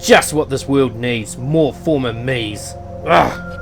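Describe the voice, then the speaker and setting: A man playing a brooding young anti-hero fighter in an animated story, sardonic and world-weary. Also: medium pitch, takes it slowly, creaky, loud music behind the voice. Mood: bitter, sardonic.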